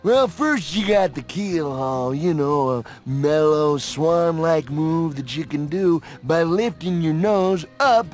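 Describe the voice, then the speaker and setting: An actor playing a laid-back surfer dude judge in a video game, with an easygoing slangy drawl. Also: male voice, on the high side, animated, slow pace, hardly any pauses, darkish tone, soft background music. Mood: mellow, easygoing.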